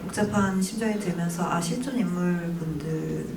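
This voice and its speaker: A woman actress at a film Q&A, speaking reflectively with pauses. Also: on the low side, noisy background. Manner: reflective, halting, thoughtful. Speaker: actress guest. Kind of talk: film Q&A answer. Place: cinema hall. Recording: echoey cinema hall, mic from a distance.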